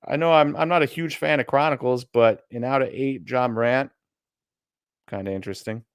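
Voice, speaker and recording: A man's voice: mid-range voice, normal speed, smooth, dry recording, studio quality. A man with a Midwestern American accent, co-hosting a casual live stream and thinking aloud as he browses listings. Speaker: co-host of a card auction stream.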